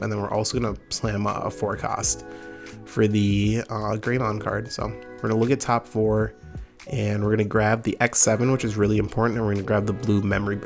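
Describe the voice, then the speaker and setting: A man's voice: fairly low pitch, creaky. A young man hosting a card game channel, giving casual gameplay commentary with a slightly nasal voice from a summer cold. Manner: fast, upbeat, casual gamer talk.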